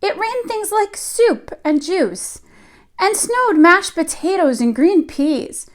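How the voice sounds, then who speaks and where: High-pitched woman reading a children's story. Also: animated, slow. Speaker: teacher reading stories to young children. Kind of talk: read-aloud storytime of a picture book.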